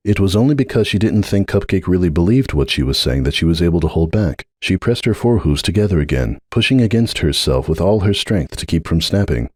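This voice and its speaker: A man's voice: sits low, darkish tone, slightly rough, no room sound, studio quality. A man narrating a story as a dramatic reading, in the role of a fan fiction narrator.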